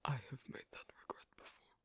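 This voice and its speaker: A performer voicing an asocial, reticent werewolf in an ASMR roleplay, speaking haltingly in a breathy, husky half-whisper. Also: pushed and bright, lightly acted. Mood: quietly explaining. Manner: halting, hesitant, low-key. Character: asocial, reticent young werewolf on a sewing date.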